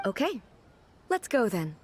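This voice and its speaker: A woman voicing a calm, regal female ruler who is curious and literal-minded, in a video game scene. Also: a little high, slight background noise. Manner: composed and measured. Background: game music.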